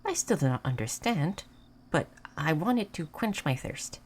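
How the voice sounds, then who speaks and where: A woman playing a gentle, naive moth person in a roleplay audio, speaking in halting, simple, formal phrases. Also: low-pitched, very animated, full character voice. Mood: curious, hesitant. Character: gentle, naive, curious moth person learning human things. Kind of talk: scripted roleplay audio addressed to the listener.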